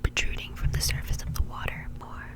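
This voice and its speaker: Whispering woman telling stories, ASMR style. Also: a little fast. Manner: informal, conversational, hedging with "like". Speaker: ASMR video creator and host.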